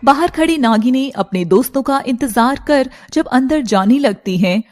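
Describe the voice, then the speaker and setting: A woman's voice: high voice, animated, normal speed, a little mellow, a little hiss or hum. A woman storyteller delivering scripted, dramatic narration for a cartoon tale.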